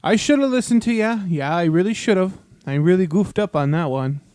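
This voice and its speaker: Man doing a folksy Minnesotan elf, smooth and highish. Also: lively intonation, moderate pace, a little mellow, no echo, studio quality. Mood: sheepish, placating. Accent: exaggerated Minnesotan. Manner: folksy, full of hesitant friendly tag words. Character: folksy, apologetic Minnesotan elf host, male cousin. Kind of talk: comedy radio drama.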